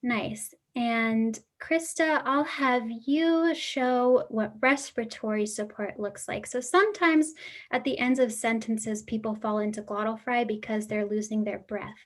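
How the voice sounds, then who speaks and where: Young female student clinician, casual friendly coaching tone, a bit high-pitched. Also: measured pace. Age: young adult. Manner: friendly coaching, directs others. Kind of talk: casual instructional demonstration.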